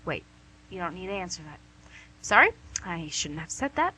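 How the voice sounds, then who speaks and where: Woman acting as female devil, bright forceful voice, slightly rough husky edge, smug, wheedling, glib, comic radio play. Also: on the low side, big pitch swings, normal speed, exaggerated voice, poor audio. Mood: mock exasperated. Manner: theatrical, glib, coaxing. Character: smug, wheedling, image-conscious female devil called Lucy.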